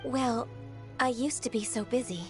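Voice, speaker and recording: A woman voicing a dutiful, reserved young secretary in a video game, speaking in a soft-spoken, formal and polite way. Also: a little high, normal speed, music in the background, close to an everyday voice. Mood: gentle.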